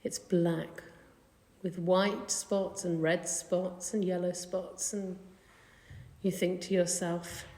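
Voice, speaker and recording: A woman leading a guided meditation in a gentle, soothing, unhurried manner. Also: on the low side, little pitch movement. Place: indoor carpeted room. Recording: echoey room with long reverb.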